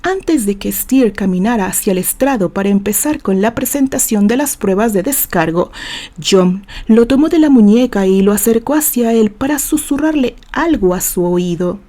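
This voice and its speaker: A Mexican woman reads fiction aloud with a soft, mellow tone and a mid-range pitch. Her delivery is deliberate and expressive, with theatrical phrasing suited to a dramatic audiobook. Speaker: author reading fiction aloud for own channel.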